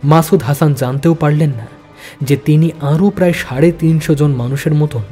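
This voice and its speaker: A man's voice: a little high, warm tone. A man narrating a spy thriller audio story in a dramatic, suspenseful manner.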